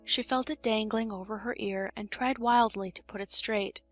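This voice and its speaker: Woman narrating a storybook over soft music. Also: on the high side, steady tone, moderate pace. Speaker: audiobook narrator. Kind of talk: expressive scripted storybook narration.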